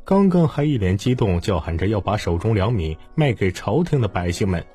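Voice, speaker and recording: A man's voice: lowish voice, sing-song, fairly quick, warm tone, husky, soft background music. A man reading dramatic scripted narration for an audiobook.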